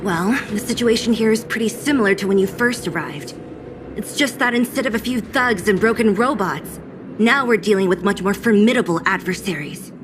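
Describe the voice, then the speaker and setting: A woman's voice: medium pitch, normal speed, noisy background, lightly acted. A woman playing a bold, tough young female fighter in a video game, talking in a direct, punchy way. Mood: bold, wary.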